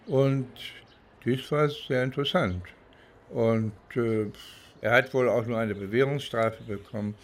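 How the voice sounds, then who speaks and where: A middle-aged or older man from East Germany speaks very slowly, in a medium-pitched voice. He recollects and reflects as an interviewee, deliberate and halting, often pausing to find the right words. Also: a bit bright.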